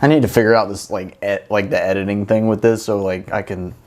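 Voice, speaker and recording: A man's voice: on the low side, little pitch movement, fast talker, darkish tone, slight background noise. A man hosting a podcast in casual chat, speaking bluntly and swearing freely. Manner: blunt, profane. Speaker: podcast host; military veteran.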